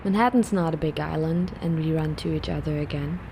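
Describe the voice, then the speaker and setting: A woman reading a reflective, poetic voice-over narration in a calm, measured way. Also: deep voice, creaky, noisy background.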